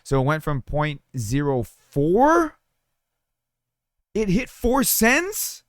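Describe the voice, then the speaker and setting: A man with a slightly hoarse, sore throat talking casually over a screen share, rambling with fillers and restarts. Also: on the high side, huge pitch swings, very slow, no room sound, studio quality. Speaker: crypto mining YouTuber. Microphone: studio desk mic.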